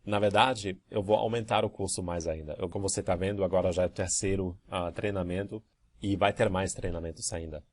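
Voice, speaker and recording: A man with a deep, low voice walks through student questions as their language teacher. He explains calmly and methodically. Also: fairly quick. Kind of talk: answering student emails in a course video.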